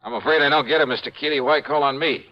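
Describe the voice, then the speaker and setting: An American actor playing a wry, confident insurance investigator in a 1950s radio detective drama, with a dry delivery. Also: male voice, mid-range voice, fast talker, close to an everyday voice. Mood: brisk, questioning. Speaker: lead actor and narrator. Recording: muffled old radio recording, music behind.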